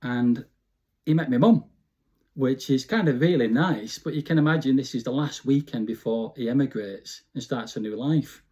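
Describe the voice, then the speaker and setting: Chatty Lancashire man, mellow voice. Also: medium pitch, moderate pace, dull audio. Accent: Bolton, Lancashire. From Bolton, Lancashire, England. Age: 50s. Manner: chatty, informal, frequent "you know" tags. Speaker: interview guest. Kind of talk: casual reminiscing interview.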